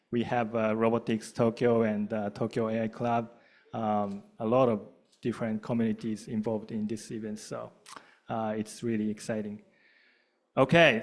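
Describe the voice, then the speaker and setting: Halting Japanese-accented male moderator. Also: medium pitch. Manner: halting, hesitant delivery. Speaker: panel moderator, event co-lead. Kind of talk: casual panel hosting before an audience.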